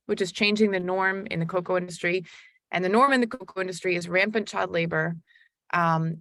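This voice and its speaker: A woman's voice: on the low side, vocal fry, a bit bright, dry recording, studio quality. A woman from Belgium with an American accent, talking as a company guest in a casual webinar interview, friendly and fluent.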